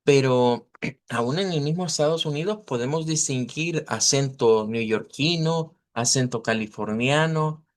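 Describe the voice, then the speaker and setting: A male teacher with a Latin American Spanish accent and a mid-pitched voice. He explains pronunciation in an informal, didactic way. His delivery is deliberate, with small check-in questions along the way. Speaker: language teacher. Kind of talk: informal online language lesson, explaining pronunciation.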